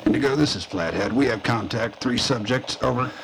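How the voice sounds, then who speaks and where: Man acting gravelly, clipped army soldier. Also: medium pitch, fairly quick, background noise, pitched above the performer's own voice, natural voice. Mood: businesslike urgency. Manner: terse military radio procedure. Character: clipped, commanding army soldier reporting over field radio. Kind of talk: audio drama character voice.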